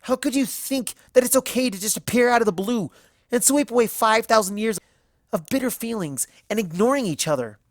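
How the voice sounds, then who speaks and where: Storybook unicorn student, performed by a narrator: young female, raised pitch, cutting, accusing, righteous and sarcastic. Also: lively intonation, brisk, dry recording, studio quality, lightly acted. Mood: shouting, indignant. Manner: sharp, cutting, rhetorical questioning.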